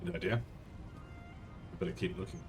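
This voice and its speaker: A man's voice: sits low, sing-song, brisk, music in the background. A man streaming gameplay live, reading along and reacting, recorded at a distance with heavy noise. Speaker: game streamer. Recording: very noisy, distant from microphone.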